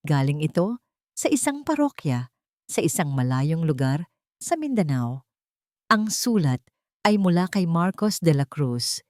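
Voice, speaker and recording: A Filipino woman reads a dramatic story in a lowish voice that rises and falls widely. Her phrasing is measured and sentimental, broken into short units. The recording is dry and close, with hardly any room sound. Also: big pitch swings, darkish tone, no echo. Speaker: storyteller, narrator. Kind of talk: scripted dramatic story narration.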